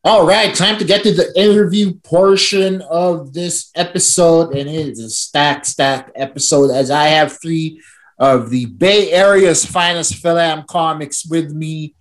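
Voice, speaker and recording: A man's voice: a little high, unhurried. A man from the Philippines hosting a podcast, chatty and self-mocking as he introduces his guests. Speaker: podcast host.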